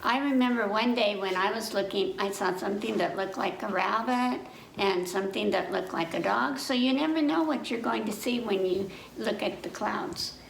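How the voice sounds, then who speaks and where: A woman hosting a children's story time, reading picture books aloud in an animated way with chatty asides and questions to her listeners. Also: mid-range voice, normal speed, vocal fry, far from the mic. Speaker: children's library storytime host. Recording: echoey room, faint background noise.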